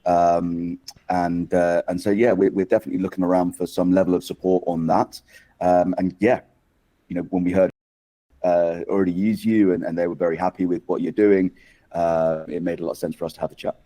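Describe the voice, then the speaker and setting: Man, deep mellow voice, measured prospect on video call. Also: level tone. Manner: measured, repeats words while thinking.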